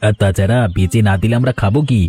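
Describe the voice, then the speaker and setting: A man plays a younger eatery helper in a cartoon. He talks quickly in a plain, chatty way, with a mellow timbre and faint music behind him. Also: lowish voice, fairly even intonation, lightly acted. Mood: resigned. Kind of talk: cartoon voice acting.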